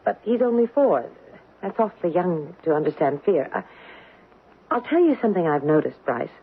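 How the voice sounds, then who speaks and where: A woman's voice: on the low side, big pitch swings, husky, full character voice. A woman playing an anxious young mother in a haunted house, speaking hesitantly in a radio drama. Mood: uneasy, hopeful. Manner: hesitant, halting, stammering. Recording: tinny, noisy old radio broadcast.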